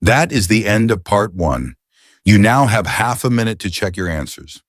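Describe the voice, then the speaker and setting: A man's voice: lowish voice, expressive, normal speed, no echo, clean studio sound. A man reading scripted listening test instructions in a formal manner as the announcer. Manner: formal, clearly paced instructions. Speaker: exam announcer.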